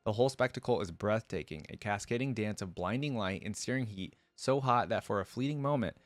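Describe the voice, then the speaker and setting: A man's voice: lowish voice, brisk, no echo, lightly acted. A man voicing a proud, vengeful celestial dragon in grandiose, florid storybook narration. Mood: proud, awed. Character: proud, vengeful celestial star-forging dragon held captive.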